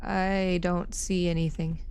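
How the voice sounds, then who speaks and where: Young woman streamer, excitable, swears, casual gameplay banter. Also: lowish voice, slow, smooth.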